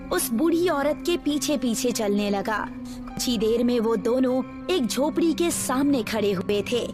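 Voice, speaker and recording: A woman narrating a scripted horror story as the storyteller. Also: high voice. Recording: music behind the voice.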